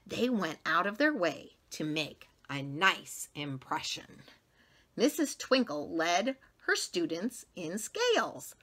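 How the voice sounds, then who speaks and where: Expressive, slow-paced American woman reading aloud. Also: lowish voice. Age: middle-aged. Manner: dramatic, deliberate, emphatic. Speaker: storybook reader for children. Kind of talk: expressive read-aloud narration. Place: small classroom-like room, indoors.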